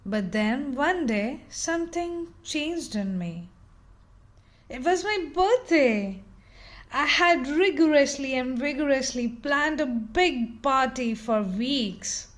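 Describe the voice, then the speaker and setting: Unhurried, higher-voiced woman reading a storybook. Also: expressive. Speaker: picture book reader. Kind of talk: expressive children's story read-aloud.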